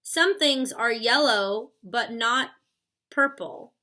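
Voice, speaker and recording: A woman's voice: fairly high pitch, slow pace, sharp tone. A woman in her thirties explaining a philosophy concept in a casual, chatty classroom way, with "like" and "stuff" as fillers. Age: 30s. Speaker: philosophy lecturer.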